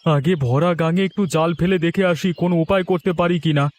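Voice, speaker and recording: A man voicing a poor, worried village father and fisherman, plaintive and humble, in an animated story. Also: on the high side, quick pace, lightly acted. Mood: worried, tender. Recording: faint music behind the voice.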